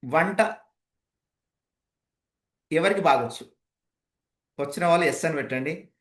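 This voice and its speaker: A man's voice: fairly high pitch, unhurried. Echoey man teaching with emphatic delivery. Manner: emphatic, rhetorical, addresses participants with questions. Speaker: voice and public speaking trainer. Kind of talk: interactive online training session, explanatory lecture. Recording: echoey, roomy sound.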